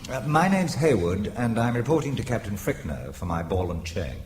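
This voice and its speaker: Man playing a wry, lively English husband. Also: mid-range voice, normal speed, slight background noise, natural voice. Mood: flippant. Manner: dry, bantering. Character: wry, flippant English husband caught in spy intrigue. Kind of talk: audio drama acting.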